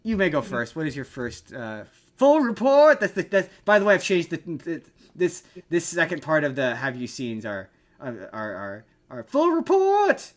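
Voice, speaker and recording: Higher-voiced man chatting casually. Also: huge pitch swings, normal speed. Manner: rambling, jokey, repeats himself. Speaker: podcast host. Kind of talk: casual chat about film and TV.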